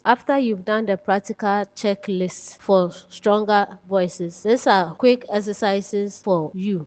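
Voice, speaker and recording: Woman teacher reading a lesson script, slow pace, point by point, mid pitched voice. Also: a little mellow, rough recording. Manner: instructional, reading out points step by step. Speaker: writing teacher.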